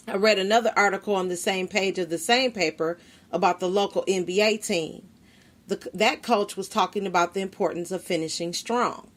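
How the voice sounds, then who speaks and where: A woman podcast host reading an article aloud in a steady, plain way, spelling out web addresses. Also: medium pitch.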